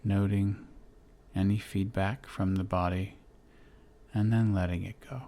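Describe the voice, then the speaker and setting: A man guides a relaxation exercise in a deep, soft-edged, mellow voice. He speaks very slowly and gently, in short phrases. Long, soothing pauses separate each instruction. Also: fairly even intonation. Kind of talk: guided meditation, body scan.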